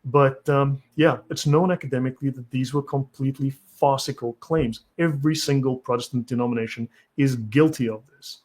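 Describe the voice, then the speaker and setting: A South African man hosts a live stream, reading from articles and answering chat. His voice sits at an ordinary middle pitch. He lectures bluntly and has little patience. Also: steady tone, normal speed, hardly any pauses, darkish tone. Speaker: channel host.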